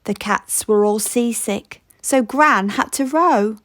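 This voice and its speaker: Slow, animated picture-book reader, woman, dry close mic. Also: a little high, no echo. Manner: slow, expressive read-aloud with playful rhythm. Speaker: storybook reader. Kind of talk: reading a children's picture book aloud.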